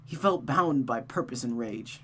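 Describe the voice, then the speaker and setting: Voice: medium pitch, animated, unhurried. A narrator reading a visual novel aloud in a dramatic storytelling manner, as a streamer does. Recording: noticeable background hum and noise.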